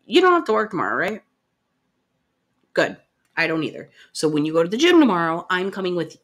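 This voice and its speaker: A woman playing a caring, gently bossy partner in an ASMR roleplay, coaxing and affectionate but firm with the listener. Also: fairly low pitch, huge pitch swings, fairly quick, full character voice. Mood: firm, playful.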